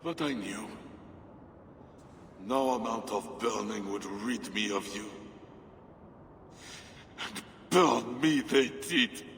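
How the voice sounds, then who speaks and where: An actor playing a mad, scarred king in a video game, raving theatrically and haltingly about the demon inside him. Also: mid-range voice, gravelly, a bit bright, echoey room, long reverb tail, a little hiss or hum. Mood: haunted reverence. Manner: theatrical, halting then erupting. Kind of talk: dramatic video game acting.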